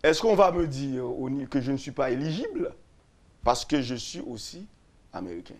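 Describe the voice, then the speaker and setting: A man, a lawyer and radio guest, explaining constitutional articles slowly, repeating and restarting phrases. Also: mid-range voice, big pitch swings. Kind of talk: explaining constitutional law on a radio talk show.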